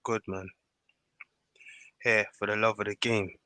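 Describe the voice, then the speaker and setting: Male panellist, sharp bright voice, plain-spoken football chat, dry sound. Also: on the low side, little pitch movement, a little slow, no room sound. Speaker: podcast panellist. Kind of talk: casual football discussion.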